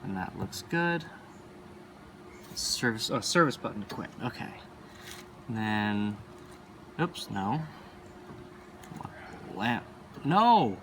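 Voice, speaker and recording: A man's voice: on the low side, lively intonation, unhurried, long pauses, sharp tone, slight background noise. A man giving casual, unscripted hands-on commentary as a hobbyist, offhand and often muttering to himself while he handles equipment. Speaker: hobbyist arcade machine video maker.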